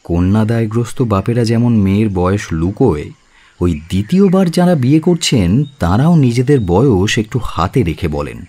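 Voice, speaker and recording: Radio play: frank young matchmaking bachelor, low and animated, courteous with a teasing edge. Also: male voice, moderate pace. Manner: polite, teasing, hesitant openers.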